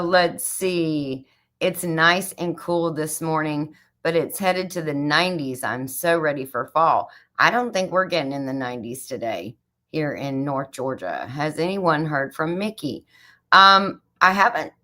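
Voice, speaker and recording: Low-voiced woman, a bit raspy. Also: pushed and bright. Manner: chatty, greets viewers by name, many fillers. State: slightly raspy from a chill. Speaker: livestream host. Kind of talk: casual livestream chat.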